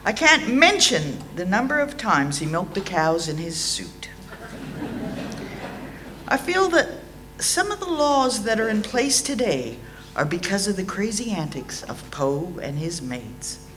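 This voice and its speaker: An Australian woman in her sixties reads a prepared eulogy with measured, dryly humorous delivery. Her pitch moves up and down in a lively way. The recording is made in a hall, with a faint hum and some noise behind her. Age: 60s. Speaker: eulogy reader at a memorial. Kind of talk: reading a prepared eulogy aloud. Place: indoor hall.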